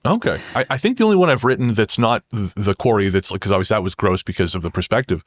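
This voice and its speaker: Low-voiced man talking in halting bursts. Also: expressive, dry recording, studio quality. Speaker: podcast guest, horror fiction writer. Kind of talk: casual podcast conversation, storytelling.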